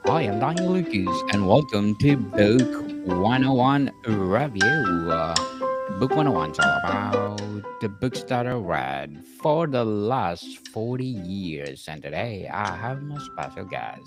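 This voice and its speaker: Slow, low-voiced male podcast host. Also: big pitch swings, loud music behind the voice. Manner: formal, reads prepared questions. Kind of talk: scripted interview questions.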